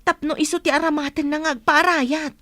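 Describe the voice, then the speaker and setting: A woman's voice: high voice, fairly even intonation, fairly quick, a bit bright, no room sound. A woman playing a cautious, secretive young household helper in a radio comedy drama, earnest and urgent. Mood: hesitant, anxious.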